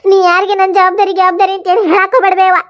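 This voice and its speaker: Woman as proud young relative, very high-pitched, hurt, defiant, emphatic, North Karnataka accent, family melodrama. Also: completely flat delivery, very fast, highs cut off. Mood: hurt defiance. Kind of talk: melodramatic family drama acting.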